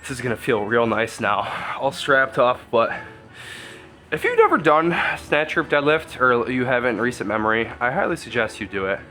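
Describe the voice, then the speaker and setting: Stuffy-nosed man with a bright voice. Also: medium pitch, big pitch swings, normal speed. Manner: chatty, loose, thinks out loud with fillers. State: congested, stuffy nose. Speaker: strength coach and lifter. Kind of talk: casual training vlog.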